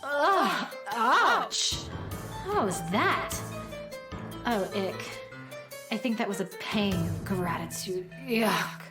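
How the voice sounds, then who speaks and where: A woman's voice: medium pitch, lively intonation, extremely slow, music in the background. An actress playing a prickly, sarcastic woman piloting a ship alone in a children's radio drama, muttering sardonic asides. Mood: grumbling. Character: sarcastic drowsy woman space traveler, prickly.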